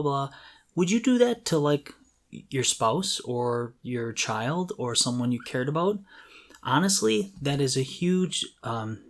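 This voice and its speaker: Man co-hosting a podcast, earnest and emphatic. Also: medium pitch. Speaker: podcast co-host. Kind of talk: casual conversational advice on creativity.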